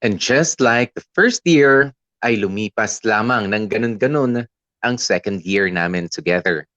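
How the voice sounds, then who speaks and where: A man plays a young Filipino narrator fondly telling his love story. He speaks slowly and reflectively in a lowish voice, on a slightly muffled recording. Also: a bit bright, no echo. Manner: reflective, conversational, mixes English and Tagalog. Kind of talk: first-person scripted story narration.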